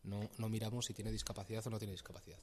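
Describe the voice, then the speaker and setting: A middle-aged man with a fairly low voice speaks as a guest in a casual interview. He talks hesitantly, restarting and repeating words as he explains. Faint noise sits under the recording. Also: little pitch movement, very fast. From Castilla-La Mancha, Spain. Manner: hesitant, repeats words often, laughs easily. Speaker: company regional manager, interview guest.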